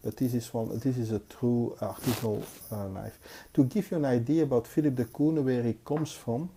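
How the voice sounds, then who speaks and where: Mellow, dark-voiced Belgian man reviewing. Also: medium pitch, steady tone, a little hiss or hum. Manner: hesitant, halting, unscripted delivery. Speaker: knife collector and reviewer. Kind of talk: casual hands-on review commentary. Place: indoors, at a table.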